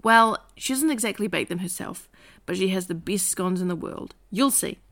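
A woman reading the lines of a charming, eager young man in an audiobook-style reading, with a lively, coaxing delivery. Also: mid-range voice, moderate pace, lightly acted. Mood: enthusiastic.

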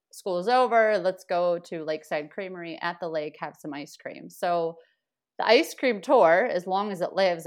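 A woman in her thirties chatting casually on a local podcast, talking freely and laughing easily. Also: on the low side. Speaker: podcast guest. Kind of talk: casual chat.

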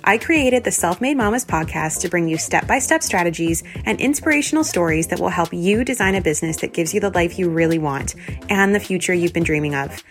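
A woman's voice: lowish voice, lively intonation, a little fast. A woman giving an upbeat, scripted introduction as a podcast host. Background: faint music.

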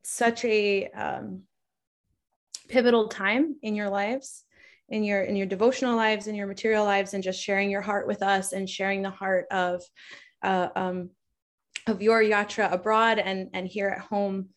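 A woman co-hosting a podcast in a casual chat, who speaks warmly and gushingly, laughs easily, and uses frequent fillers. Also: mid-range voice, flat delivery, a little slow. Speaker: podcast co-host.

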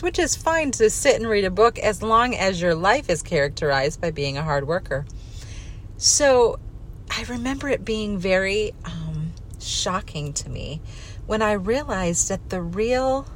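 A woman's voice: fairly low pitch, slight background noise. A middle-aged woman giving a candid, conversational personal talk, self-deprecating and repeating words for emphasis. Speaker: speaker giving a personal talk on faith and family life.

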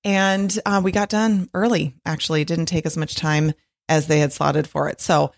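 Middle-aged lady doing her solo podcast, kinda husky today, lower voice, rambly chatty, laughs a lot. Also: fairly even intonation, moderate pace. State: slightly husky from long recording. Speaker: podcast host and author. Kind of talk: casual solo podcast monologue.

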